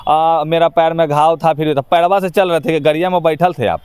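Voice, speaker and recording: A man's voice: a little high, fairly even intonation, normal speed, a little mellow, clean tone, no echo. A man with a Bihari accent giving his opinions in an informal interview, speaking emphatically in colloquial, rustic phrasing. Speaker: interview guest, actor.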